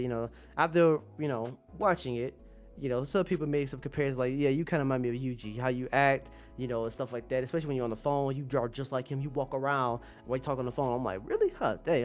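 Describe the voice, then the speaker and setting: A male streamer talks off the cuff to his audience in a mid-pitched voice, with music playing behind him. His delivery is slangy and emphatic, circling back on phrases to drive them home. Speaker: streamer and manga commentator. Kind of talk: casual rambling vlog monologue to viewers.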